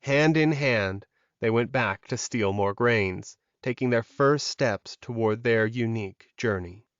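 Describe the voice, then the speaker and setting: A man's voice: mid-range voice, measured pace, clear, resonant tone, no room sound, dull audio. Dry-sounding male audiobook narrator. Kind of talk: scripted dramatic story narration.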